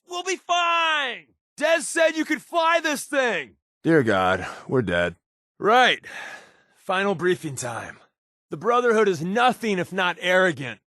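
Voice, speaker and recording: A man's voice: medium pitch, a bit bright, dry recording. A male game companion, a secret agent with a glib, sardonic streak. He talks colloquially in a middle register, wary but loyal to his partner. The sound is dry, with no room around it. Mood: urgent, tense, then sardonic, uneasy. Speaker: video game companion character. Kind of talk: scripted video game character dialogue.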